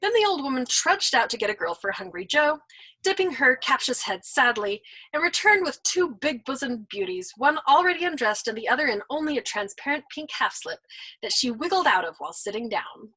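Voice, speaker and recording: Woman reading a story out loud, bright voice, pitch all over the place, fixes her slips as she goes. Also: brisk, breathy edge, rough recording. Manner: expressive, animated reading with small slips. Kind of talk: reading a novel aloud.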